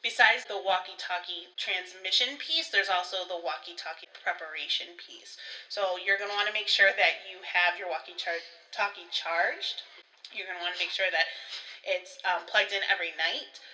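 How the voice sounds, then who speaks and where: A woman with a very bright, forceful voice talks through instructions like a trainer. She spells out each step in a conversational way and gives examples as she goes. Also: lowish voice, normal speed, low-quality recording. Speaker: co-host, school staff trainer.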